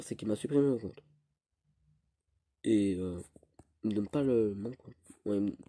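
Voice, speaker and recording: A teenage boy with a cold, rambling through casual gameplay commentary with halting restarts. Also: lowish voice, warm tone, husky, no room sound. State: stuffy with a cold. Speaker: gaming youtuber.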